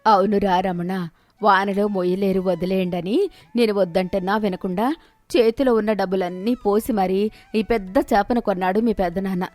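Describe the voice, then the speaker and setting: A woman's voice: medium pitch, fairly even intonation, normal speed, a little mellow, lightly acted. Woman acting a fond elderly village aunt.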